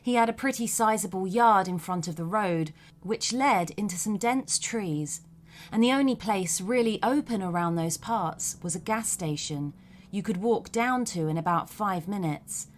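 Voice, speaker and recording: A woman reads a written first-person story for a podcast. Her voice has an average pitch, and she delivers the text as scripted narration. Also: moderate pace, slight background noise. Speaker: podcast host reading listener stories.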